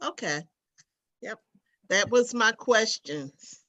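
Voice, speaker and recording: A woman's voice: a little high, expressive, slow pace, bright, forceful tone. A woman, a panelist asking polite, casual interview questions.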